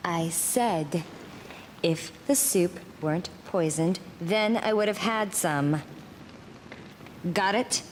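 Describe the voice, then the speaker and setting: A woman's voice: lowish voice, slow pace, long pauses, a little hiss or hum. An actress playing a blunt young woman medium in a game cutscene, curt and commanding. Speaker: game character.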